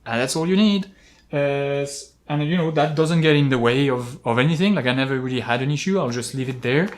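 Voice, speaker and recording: A man talking casually as an outdoor climbing vlogger, explaining gear with examples in a halting, rambling way. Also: medium pitch. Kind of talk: casual product-talk vlog, explaining with examples.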